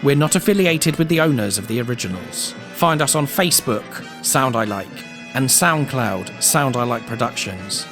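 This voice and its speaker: A man reads scripted credits as an announcer, in a medium-pitched voice. His delivery is formal and orderly, with music clearly playing behind him. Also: expressive, normal speed.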